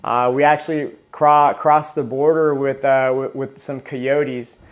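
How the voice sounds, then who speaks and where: Male, 30s, interview guest, flat delivery, halting with frequent restarts. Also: mid-range voice. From Southern California. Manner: halting, many restarts and repeated words. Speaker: interview guest, retired Marine. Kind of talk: casual interview.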